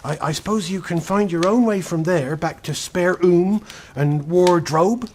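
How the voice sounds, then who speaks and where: An actor playing a timid, guilt-ridden fawn in a radio drama, faltering and plaintive. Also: male voice, high-pitched, a little slow, a little mellow, slight background noise. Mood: resolved, hushed. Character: tearful, guilt-ridden male fawn, timid and self-reproaching.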